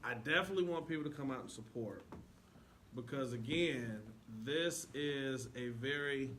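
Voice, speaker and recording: A man with a very bright, forceful voice speaks earnestly and casually as an interview guest. There is faint noise behind him. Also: medium pitch, normal speed. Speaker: stage actor, interview guest. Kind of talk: casual, earnest promotional talk. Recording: faintly noisy room recording.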